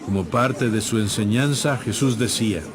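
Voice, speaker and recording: A man's voice: on the low side, moderate pace, crowd noise. A man reading scripted narration for a dramatized audio Bible. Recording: music playing behind.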